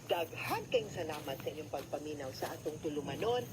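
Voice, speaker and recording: A woman's voice: low-pitched, lively intonation, brisk. Cebuano woman giving radio advice over noise. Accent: Cebuano Filipino. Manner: explanatory, punctuates points with tag questions. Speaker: radio legal advice host, lawyer. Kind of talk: conversational legal advice on radio.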